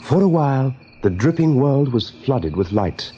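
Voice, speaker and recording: A man's voice: mid-range voice, sing-song, measured pace, mellow, faint music behind. A man narrating a scripted radio drama as its storyteller. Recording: dull, faintly noisy old radio tape.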